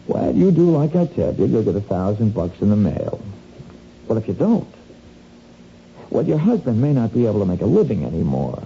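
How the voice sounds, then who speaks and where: An actor playing a sly small-time crook in a radio drama, wheedling and insinuating in folksy, slangy talk. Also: male voice, on the low side, wildly swooping pitch, moderate pace, vocal fry, heavily acted. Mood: smooth, menacing. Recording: dull, noisy lo-fi radio recording.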